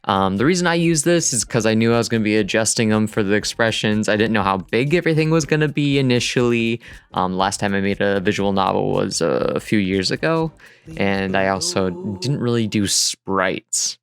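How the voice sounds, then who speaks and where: A man's voice: lowish voice, animated, moderate pace, clear, resonant tone, no room sound. A man giving a casual, rambling tutorial voice-over in an informal, self-deprecating way. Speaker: digital artist narrating a dev vlog. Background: music.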